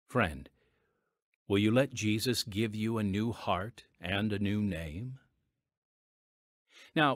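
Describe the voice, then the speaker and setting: A man's voice: mid-range voice, no room sound, studio quality. An American man hosting a podcast with polished, theatrical scripted narration, speaking directly to the listener as a friend. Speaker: podcast host and announcer.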